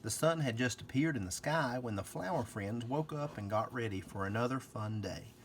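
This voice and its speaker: Man reading a children's story, lively intonation. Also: mid-range voice, moderate pace, a little hiss or hum. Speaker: storybook reader. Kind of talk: children's story read-aloud.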